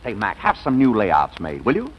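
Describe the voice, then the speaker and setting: A man's voice: lowish voice, huge pitch swings, moderate pace, muffled recording, background noise. An actor playing a breezy, self-assured businessman giddy with infatuation in a 1940s radio comedy, on an old broadcast recording. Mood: enthusiastic pitching. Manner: exuberant, distracted, hums to himself.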